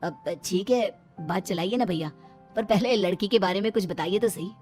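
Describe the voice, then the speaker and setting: A woman's voice: mid-range voice, moderate pace, a little mellow, lightly acted. A middle-aged village husband , acted by a woman, familiar and agreeable but a little bossy. The cartoon story has music behind it.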